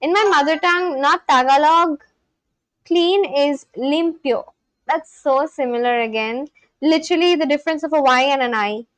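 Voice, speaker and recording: A woman's voice: high-pitched, normal speed, no room sound, low-quality recording. A woman livestreamer chatting casually and practising language phrases aloud, in a chatty way. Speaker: livestream host learning Spanish.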